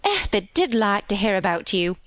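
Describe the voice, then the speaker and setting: A woman's voice: mid-range voice, big pitch swings, moderate pace, a bit bright, no echo, clean studio sound. Woman playing an eager, chatty young Yorkshire housemaid. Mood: excited. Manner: eager, rambling, folksy dialect storytelling. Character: cheerful, chatty young Yorkshire housemaid, warm-hearted and excitable.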